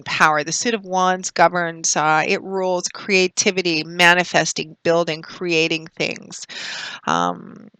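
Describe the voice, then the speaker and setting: A woman giving a monthly tarot reading, recovering from a cold and slightly raspy, explaining cards in a meandering way with frequent fillers. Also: lowish voice, pushed and bright, no echo. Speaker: tarot reader.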